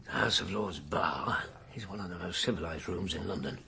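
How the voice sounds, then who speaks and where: An actor playing a wry, cheeky, world-weary investigator, sardonic and unhurried, in a radio drama. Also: male voice, low-pitched, gravelly. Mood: breezy, sardonic. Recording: heavy background noise.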